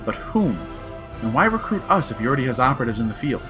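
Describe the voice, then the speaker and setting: Man acting a wry, animated Time Lord adventurer. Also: medium pitch, quick pace, close to an everyday voice. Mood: pondering. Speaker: actor in an audio drama. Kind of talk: sci-fi comedy audio drama acting. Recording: loud music under the dialogue.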